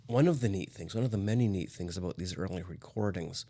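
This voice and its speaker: A man hosting a music show, chatting casually and explaining old recordings in a halting way. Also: low voice, warm tone. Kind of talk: casual chat about vintage recordings.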